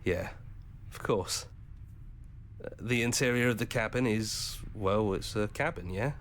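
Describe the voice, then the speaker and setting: Low-voiced, reassuring hero companion. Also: male voice, measured pace, fairly bright tone, a little hiss or hum. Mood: wry, casual. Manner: conversational, reassuring, hesitant at times. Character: supportive male hero companion, casual and wryly practical. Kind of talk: visual novel game acting.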